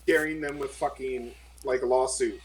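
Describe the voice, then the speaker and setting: A man's voice: medium pitch, steady tone, normal speed. A man bantering casually as a tabletop role-player, sardonic and sweary. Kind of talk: casual in-character game banter.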